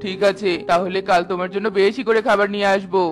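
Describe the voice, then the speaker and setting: A man voicing a greedy, sly male crow in a children's cartoon fairy tale, wheedling and excitable. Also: high voice, quick pace, over music, lightly acted. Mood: pleased.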